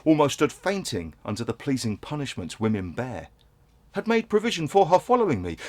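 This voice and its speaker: A male actor plays a condemned merchant and grieving father telling of his misfortunes in Shakespearean verse. His mid-range male voice rises and falls dramatically. The delivery is solemn, measured and theatrical, weighted with world-weary sorrow. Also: medium pitch. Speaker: stage actor. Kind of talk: Shakespearean stage monologue in verse.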